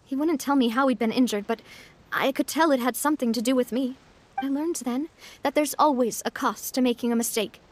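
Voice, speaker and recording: A woman voicing a cheerful, sincere young woman leader in a video game, speaking in a gentle, earnest, measured way. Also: sits high, slight background noise, lightly acted. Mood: earnest, wistful. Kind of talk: animated video game voice acting. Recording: soft music behind the voice. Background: soft game music.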